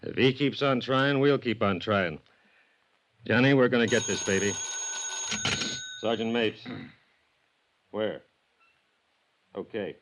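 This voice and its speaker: A man playing a gruff, determined police sergeant in a 1950s radio drama, speaking in a terse, offhand way. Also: lowish voice, takes it slowly, long pauses. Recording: dull vintage radio recording, faint noise.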